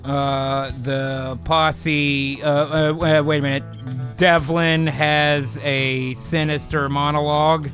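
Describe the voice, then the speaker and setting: A man working as a voice actor, narrating an improvised comedic radio drama in a casual, animated way. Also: on the high side, takes it slowly, few pauses, faint music behind.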